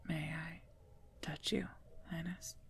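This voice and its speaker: A woman playing a stern, protective werewolf knight in a whispered ASMR roleplay, speaking formally and softly in a breathy voice, gently scolding and earnest, close to the microphone. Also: deep voice, flat delivery, takes it slowly, slight background noise, heavily acted. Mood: gentle concern.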